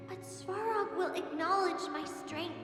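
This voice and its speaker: A woman voicing a sickly little girl who writes to her hero, earnest and wistful, sighing and laughing softly. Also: very high voice, slow. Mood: dreamy. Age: child figure. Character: sickly hopeful little girl fan, dreamy. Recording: echoey reverb with music behind.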